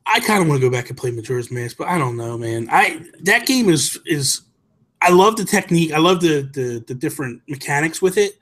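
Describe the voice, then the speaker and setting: A man's voice: fairly high pitch, lively intonation, fairly quick, fairly bright tone. An American man in his thirties co-hosting a casual gaming chat, speaking emphatically and repeating phrases for stress. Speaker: podcast co-host. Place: small home room, indoors. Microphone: desk microphone close up, headset.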